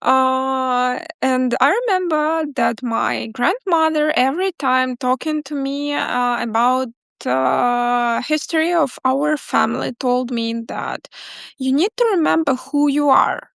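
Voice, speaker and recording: Brief: woman in her twenties from Crimea, non-native English speaker, slow and halting, dry close sound. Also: sits high, creaky, a bit bright, no echo. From Crimea, Ukraine. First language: Crimean Tatar. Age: 20s. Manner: halting, many fillers and restarts, apologetic. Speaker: interview guest. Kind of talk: casual interview talk.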